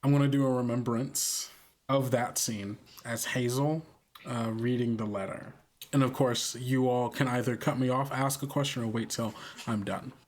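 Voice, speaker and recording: A man's voice: medium pitch, steady tone, moderate pace. A man facilitating an improvised tabletop roleplaying game in conversational talk. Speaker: game facilitator and player on an actual play podcast.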